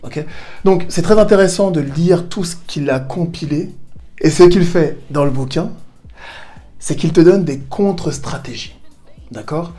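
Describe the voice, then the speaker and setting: Mellow-voiced man coaching viewers. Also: on the high side, a little slow, faint music behind. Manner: casual, addresses viewer as tu, playful asides. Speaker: self-help video host. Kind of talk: direct-to-camera coaching talk. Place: home in southern Spain.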